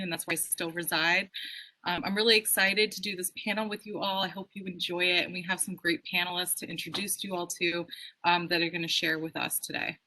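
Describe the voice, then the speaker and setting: A woman's voice: fairly low pitch, bright, forceful tone, rough recording. A woman in her thirties from Queens, New York, introducing herself on a panel in an upbeat, chatty way. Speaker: co-host, office director.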